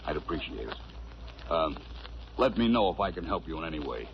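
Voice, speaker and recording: An actor playing a worried, earnest construction boss in 1940s radio drama style. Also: male voice, extremely low pitch, big pitch swings, fairly quick, heavily acted. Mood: earnest, grateful. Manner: earnest, insistent. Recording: muffled old radio recording, clear hiss.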